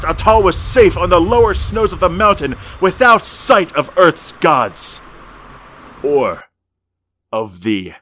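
An actor playing a grave storyteller, narrating a gothic horror radio drama with solemn, theatrical, measured phrasing. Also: male voice, fairly high pitch, a bit bright. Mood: urgent, dread. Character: grave storyteller of an ancient dread legend.

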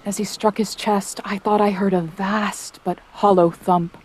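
A woman as a slow, solemn sailor narrator. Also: medium pitch, steady tone, close to an everyday voice, low-quality recording. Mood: solemn, haunted. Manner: measured, solemn, literary storytelling. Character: haunted young sailor narrating the whaling voyage. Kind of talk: theatrical audio drama narration.

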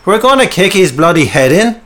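A man's voice: high-pitched, lively intonation, a little slow, pushed and bright, very echoey, big-room sound, far from the mic, voice pushed up, heavily acted. A man voicing a violent, menacing skinhead gang leader who snarls his threats in an audiobook story. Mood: snarling, vengeful.

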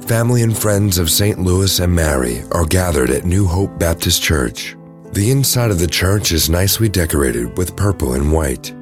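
Deep-voiced male narrator over music. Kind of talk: scripted audio drama narration.

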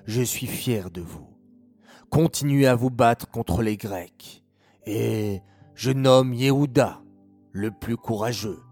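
A man voicing an old, dying father who speaks weakly but with determination. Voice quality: quiet, frail, low.

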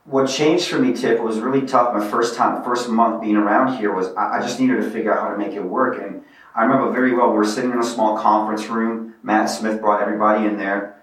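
A low-voiced man from Bosnia who grew up speaking another language tells his story in an earnest, reflective way. He sits a little back from the mic, and a strong room echo hangs on his words. His talk is an unhurried guest interview. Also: fairly even intonation, fairly bright tone, far from the mic. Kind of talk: casual interview.

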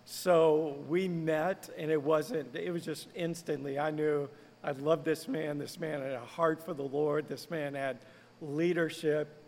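A man's voice: on the high side, steady tone, unhurried, creaky, a little mellow, big-room sound, slight background noise. A man who is a pastor, speaking earnestly and conversationally in a podcast interview. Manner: conversational, earnest, lightly laughing.

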